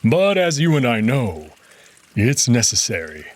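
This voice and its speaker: A man voicing a gentle, devoted male wolf nobleman who speaks softly and formally. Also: medium pitch, sing-song, measured pace. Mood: tender. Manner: soft-spoken, caring, formal.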